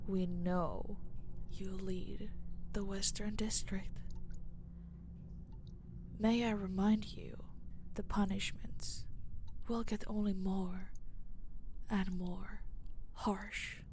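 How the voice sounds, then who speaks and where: A woman playing a cold, domineering mafia boss in a roleplay audio drama, taunting and menacing, often dropping into a breathy hush. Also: mid-range voice, level tone, drawn-out words, long pauses, a little hiss or hum. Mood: stern, interrogating.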